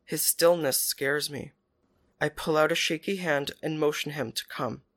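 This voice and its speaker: A man reads a first-person story aloud in a quiet, even manner. His voice sits a bit high for a man. He keeps the telling steady and unhurried. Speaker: story narrator.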